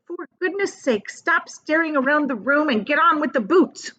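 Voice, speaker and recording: A woman's voice: high voice, animated, normal speed, pushed and bright, pitched above the performer's own voice. A woman voicing a bossy, impatient, grumpy male centipede in a children's storybook. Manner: impatient, commanding.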